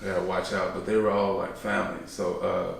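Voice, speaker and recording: Podcast guest, young man, deep voice, easygoing and rambling, recorded in a boomy room. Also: level tone, a little fast, gravelly, a little hiss or hum. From Chicago, South Side. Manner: laid-back, rambling, joking, trails off often. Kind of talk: casual conversational interview. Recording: echoey room, mic at a distance.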